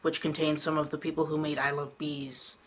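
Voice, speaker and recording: A woman's voice: deep voice, little pitch movement, brisk. A woman reading a scripted, analytical review narration as a video game critic.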